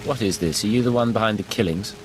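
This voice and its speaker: A man's voice: fairly low pitch, quick pace, natural voice, low-quality recording. A man playing a stern, controlled imperial intelligence agent in a video game. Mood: businesslike. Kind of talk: video game dialogue acting. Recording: music behind the voice.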